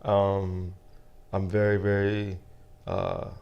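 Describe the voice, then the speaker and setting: A young man from Nigeria, a guest in a casual interview, talking in a soft, reflective way with many fillers and restarts. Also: sits low, very animated, takes it slowly, vocal fry, clean tone, highs cut off.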